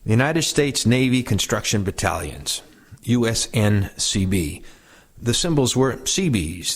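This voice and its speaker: A man reading first-person war recollections aloud as a reader of oral histories. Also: fairly low pitch.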